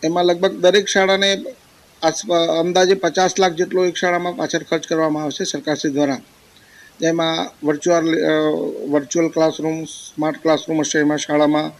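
A man's voice: on the high side, level tone, fairly quick, rough recording. A middle-aged man explaining in an interview, speaking into a handheld microphone in an office. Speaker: education official being interviewed. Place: office, indoors. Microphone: handheld reporter microphone.

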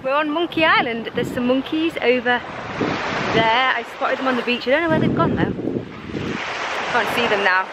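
A woman's voice: sits high, animated, moderate pace, heavy background noise. A woman, talking upbeat to a handheld camera outdoors on a boat and beach. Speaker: travel vlogger. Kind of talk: casual travel vlog. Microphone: handheld action camera at arm's length.

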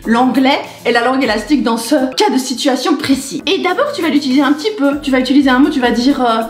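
A woman in her twenties vlogging to camera in a chatty, rambling, animated way, gesturing as she talks. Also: sits high, fast. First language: French. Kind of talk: casual chat to camera. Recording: echoey room with faint music.

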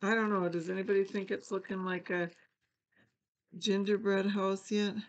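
An older woman with a gravelly edge to her mid-pitched voice talks her way through a craft project. She chats casually and pokes fun at her own mistakes. She is recorded by a camera sitting on her table indoors. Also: little pitch movement, normal speed, lo-fi sound. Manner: chatty, self-deprecating, thinking aloud while working. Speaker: craft channel host. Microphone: fixed camera over a craft table.

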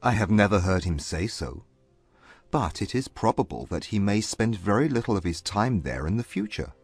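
Haughty, reserved gentleman, played by a male actor, formal and stiff, husky, very dry close sound, period audiobook drama. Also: lowish voice, lively intonation, moderate pace, no room sound, soft background music.

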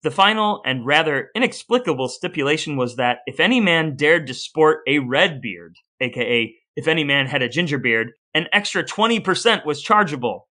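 A man with a bright, forward voice that sits a little high. He presents a chatty, partly scripted podcast as its co-host. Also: animated, normal speed, few pauses.

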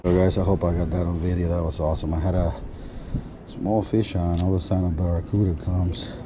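Male fishing vlogger, lower-pitched, informal and slangy running commentary, outdoor action-camera audio with heavy wind noise. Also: little pitch movement, a little fast. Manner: informal, slangy, addressing viewers as guys. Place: outdoors on an ocean fishing pier. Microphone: body-worn action camera. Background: loud wind and sea ambience.

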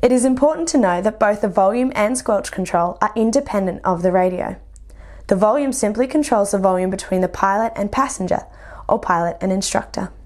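Casting: young Australian female instructor, mid-pitched voice, methodical scripted training-video narration. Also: fairly quick, a little mellow. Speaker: flight instructor presenting a lesson.

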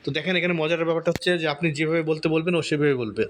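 A man giving a casual step-by-step software tutorial, often repeating himself and checking in with listeners. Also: fairly high pitch, steady tone, very fast. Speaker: tutorial host. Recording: noticeable background noise.

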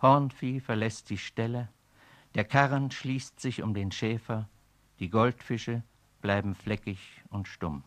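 An actor speaking a weary man's poetic inner monologue in a radio play, slow and brooding. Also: fairly low pitch, steady tone. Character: weary, brooding man musing on decay.

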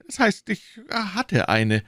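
A man's voice: medium pitch, sing-song, normal speed, no room sound, clean studio sound, voice pushed up. A man voicing a timid, frightened middle-aged shopkeeper in an audiobook, trembling and pleading as he is questioned. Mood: nervous, hesitant. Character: timid, frightened fifty-one-year-old shopkeeper under interrogation. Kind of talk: acted audiobook dialogue.

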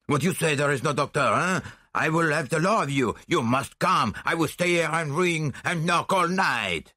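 A man voicing a shrewd, courteous detective in a mystery audiobook, theatrical and gently mocking. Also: on the high side, lively intonation, moderate pace, no echo, studio quality, voice pushed up. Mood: mock fury. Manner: courteous, mildly reproving, theatrical.

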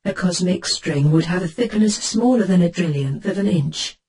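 A man's voice: high-pitched, normal speed, darkish tone, husky, far from the mic. A man voicing a spaceship's computer assistant for a game, reading encyclopedic answers in a formal, precise manner. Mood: formal, informative. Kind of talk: scripted encyclopedia-style voice response.

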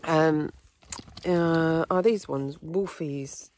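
Hiking vlogger, woman, thirties, casual and rambling, phone mic outdoors, low-ish voice, light wind noise. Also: very slow, darkish tone. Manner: chatty, frequent ums and little laughs. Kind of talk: casual chat to camera. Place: windy fell top and tent outdoors. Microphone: phone camera at arm's length.

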